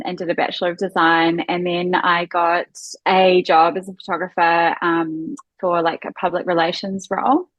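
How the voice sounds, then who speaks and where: Lowish-voiced New Zealand woman chatting casually. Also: a bit bright, no echo. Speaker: podcast guest. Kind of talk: casual conversational chat.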